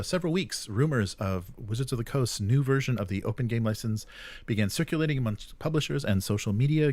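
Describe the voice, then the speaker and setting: Man, lowish pitch, dry close sound, casual news co-host, halting hesitant delivery. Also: a little mellow, dry recording. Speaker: co-host of a tabletop gaming news show. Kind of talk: casual news rundown and chat.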